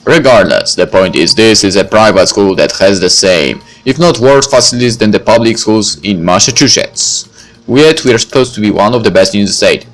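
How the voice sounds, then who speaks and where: A man's voice: on the low side, lively intonation, normal speed, faint music behind, natural voice, poor audio. A man voicing a cynical, probing teenage schoolboy in a visual novel. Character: cynical, probing teenage boy who smokes. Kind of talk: visual novel character read-aloud.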